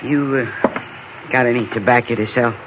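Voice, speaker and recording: An actor playing a superstitious, folksy frontier customer with a rural Western drawl, giving ominous warnings in an old radio Western. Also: male voice, medium pitch, fairly even intonation, normal speed, lightly acted. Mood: wary. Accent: American rural Western drawl. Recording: noisy, muffled old radio recording.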